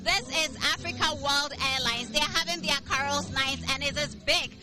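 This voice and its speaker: High-voiced woman reporting live. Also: moderate pace. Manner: lively, chatty interviewer. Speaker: field reporter. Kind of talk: live on-location news report. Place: festive party venue. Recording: music playing behind at an event.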